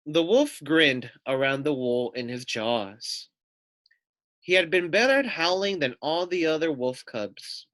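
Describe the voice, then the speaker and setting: A man's voice: fairly high pitch, expressive, unhurried, fairly bright tone, dry recording. A man reading a children's story aloud expressively, with simple explanatory asides for young listeners.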